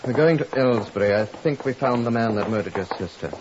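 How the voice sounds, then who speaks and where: An actor playing a stern, impatient police superintendent with a curt, commanding manner in a 1950s radio drama, also narrating. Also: male voice, mid-range voice, fast, over loud music, close to an everyday voice. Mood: exasperated. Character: stern, impatient male Scotland Yard superintendent. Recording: muffled, hissy 1950s radio recording.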